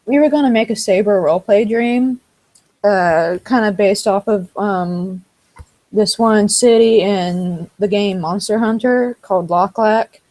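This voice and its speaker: A woman's voice: mid-range voice, unhurried, a little mellow, rough recording. A woman chatting casually over a computer microphone, talking hesitantly and often searching for words. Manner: hesitant, many fillers and restarts. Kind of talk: casual chat. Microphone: computer microphone on a voice chat.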